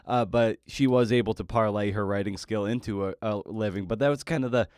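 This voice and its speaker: A man's voice: fairly low pitch, a little fast, a little mellow, clean tone, no echo, studio quality. Laid-back man hosting a podcast. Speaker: podcast host and interviewer. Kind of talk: casual podcast introduction and interview chat.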